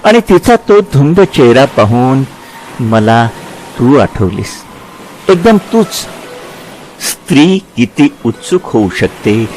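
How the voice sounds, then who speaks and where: A man playing Krishna as a tender, reflective lover looking back in a dramatized literary dialogue, speaking with halting repetitions. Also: medium pitch, a little mellow, a little hiss or hum, poor audio. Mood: wistful recollection.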